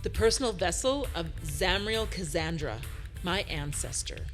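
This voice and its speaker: A woman's voice: on the low side, expressive, measured pace, over music. A woman playing a brash, commanding space pirate captain in an audio drama, dramatic and daring. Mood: somber.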